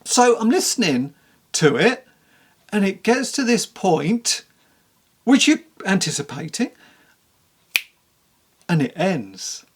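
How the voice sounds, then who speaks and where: A person giving a casual spoken book review to camera in a candid, rambling, conversational way, with a creaky voice. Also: mid-range voice, sing-song, takes it slowly, long pauses.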